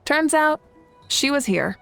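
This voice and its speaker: An actress playing a composed, kindly woman leader in a video game, speaking in a measured, assured way. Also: sits high, animated, gravelly, fairly bright tone, soft background music, full character voice. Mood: wry. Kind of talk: video game dialogue acting.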